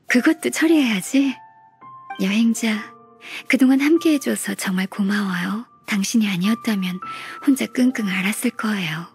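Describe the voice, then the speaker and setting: A gentle, modest young secretary from a video game, acted by a woman. Her voice sits somewhat high and soft, with polite, measured delivery. Faint music plays underneath. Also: lightly acted. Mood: grateful.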